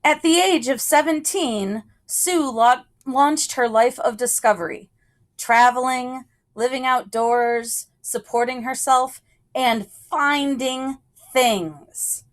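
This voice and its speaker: A woman with a slightly high voice reads a picture book aloud for children. Her pace is very slow, and she sets phrases apart with deliberate pauses. The delivery is that of measured storytelling.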